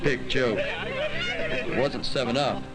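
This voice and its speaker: A man's voice: a little high, huge pitch swings, slow, over a noisy crowd, full character voice. A young male actor playing an earnest, regretful teenage boy narrating an old educational film. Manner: earnest, wistful. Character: earnest, regretful teenage boy recounting his last day. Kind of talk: dramatic first-person educational film narration. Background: loud music.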